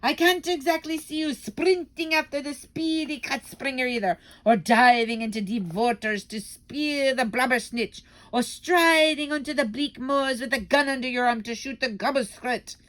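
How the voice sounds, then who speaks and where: A woman's voice: normal speed, hardly any pauses, a bit bright, poor audio. A woman voicing an imperious, cruel female witch leader who shrieks and barks out commands. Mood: commanding. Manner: shrill, barking commands.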